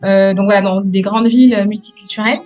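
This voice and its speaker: A woman in her thirties from France, a guest entrepreneur answering interview questions with many hesitant pauses. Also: mid-range voice, steady tone, muffled recording, soft background music. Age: 30s. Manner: hesitant, many "euh" pauses, soft-spoken.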